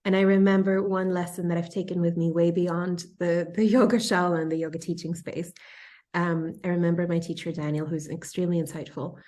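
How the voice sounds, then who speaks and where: A woman in her thirties, a yoga teacher interviewing a friend, telling stories in a casual, animated way and often laughing. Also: on the low side, brisk. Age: 30s. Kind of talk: casual conversational storytelling.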